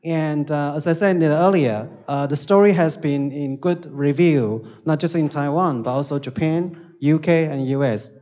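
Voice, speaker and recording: A man hosting an online forum, introducing the next speaker in a halting way with many fillers. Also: on the high side, mellow, dull audio. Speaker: forum host.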